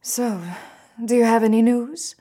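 An actress playing a scheming femme fatale in a 1930s noir audio drama, genteel and coy, feigning innocence. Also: female voice, on the high side, little pitch movement, mellow. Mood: coy, inviting. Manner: genteel, coy, drawn-out delivery.

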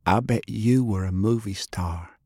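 A man's voice: fairly low pitch, unhurried, warm tone, dry recording, clean studio sound. A man voicing an earnest young country girl who talks in a plain, folksy way. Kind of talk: audiobook character voice.

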